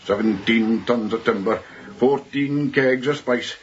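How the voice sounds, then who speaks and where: An actor playing a gloomy, superstitious ship's officer in a radio drama, speaking with solemn, deliberate earnestness. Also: male voice, mid-range voice, husky, lo-fi sound. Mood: grave, insistent.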